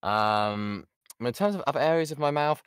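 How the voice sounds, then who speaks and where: A man's voice: mid-range voice, normal speed, smooth, no echo. A British man giving an informal lesson as a voice actor, chatty and jokey, often interrupting himself, speaking close to the mic on an online call. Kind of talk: informal lesson with audience questions.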